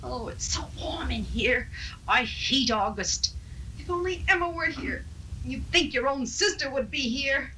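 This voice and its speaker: An actress plays a brooding Victorian spinster in a radio drama, strong-willed and cold. Her voice is sharp and rather high, with a faint hiss from the seventies recording. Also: lively intonation, unhurried, full character voice, poor audio. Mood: agitated, impatient. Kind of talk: 1970s live radio drama acting.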